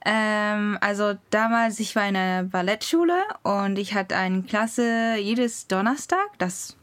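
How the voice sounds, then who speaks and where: Podcast co-host: young woman, unhurried and word-searching, giggly, recorded very dry. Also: medium pitch, a bit bright, no echo. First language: Japanese. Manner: halting, searching for words, giggly. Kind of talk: casual personal storytelling.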